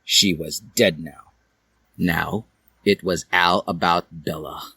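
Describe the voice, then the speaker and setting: A man's voice: low voice, fairly even intonation, takes it slowly, creaky, sharp tone, breathy edge, no echo. A man reading a comic fan fiction story aloud as a theatrical narrator.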